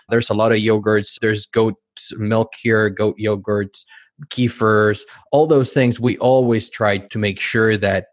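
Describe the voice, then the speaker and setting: A man from Mexico with a fairly low voice hosts a podcast conversation, asking long, rambling questions and rephrasing himself mid-thought. The recording is close and dry, with no room sound. He sounds like someone in his thirties. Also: dry recording. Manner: talks in long winding sentences, rephrasing as he goes. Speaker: podcast host. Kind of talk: conversational interview.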